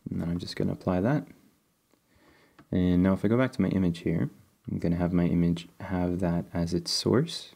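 Deep-voiced, mellow, slightly sniffly male tutorial host; easygoing, explains as he clicks. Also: moderate pace, vocal fry. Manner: relaxed, step-by-step, thinking aloud with fillers. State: sniffly, getting over a cold. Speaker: game development tutorial host. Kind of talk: screen-recorded coding tutorial.

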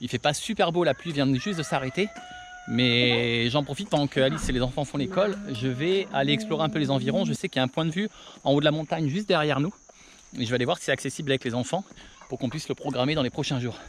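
A man's voice: mid-range voice, fast, noisy background. A man in his forties talking upbeat to a camera held at arm's length while hiking a jungle mountain trail. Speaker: travel vlogger. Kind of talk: selfie-style travel vlog.

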